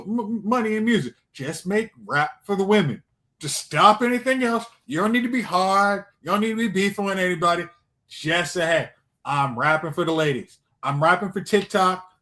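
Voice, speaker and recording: A man's voice: high-pitched, fairly bright tone. A man giving opinions in casual back-and-forth banter as a podcast panelist.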